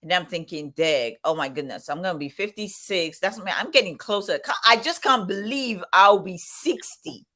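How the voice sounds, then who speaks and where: A woman in her fifties with a bright, clear voice, talking in a relaxed, chatty way. She muses aloud and laughs easily, like a co-host on a live stream. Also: lowish voice, moderate pace, few pauses. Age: 50s. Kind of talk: casual chat.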